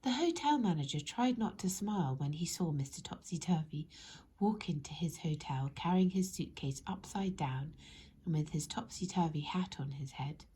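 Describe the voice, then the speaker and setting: A woman narrating a children's story expressively, in measured phrases that speak directly to young listeners. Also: low voice, expressive, warm tone, slight background noise. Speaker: storybook reader.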